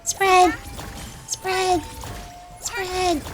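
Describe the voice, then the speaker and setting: A woman's voice: very high-pitched, flat delivery, very slow. A woman giving playful, casual commentary as she streams a video game. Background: faint music.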